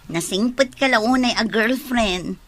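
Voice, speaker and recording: A woman's voice: fairly high pitch, measured pace, fairly bright tone. A woman with an Ilocano Filipino accent acting as an outspoken, teasing young best friend in a radio drama.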